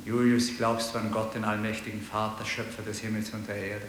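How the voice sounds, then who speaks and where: An actor playing a solemn priest in a radio play, intoning a question of faith. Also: male voice, lowish voice, level tone, a little fast, natural voice. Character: solemn male prison priest reciting the creed. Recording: cavernous reverb, loud music behind.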